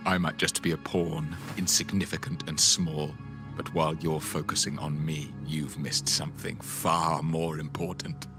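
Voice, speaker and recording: An actor playing a menacing, pompous cult zealot in a video game, speaking with grandiose solemnity. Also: male voice, a bass voice, flat delivery, a little slow, over music. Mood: defiant.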